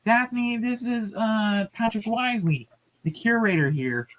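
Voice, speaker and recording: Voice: medium pitch, slow, pitched above the performer's own voice, close to an everyday voice. A story reader playing a shy, bookish young woman sleuth who is smitten, wordy and earnest. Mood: nervous.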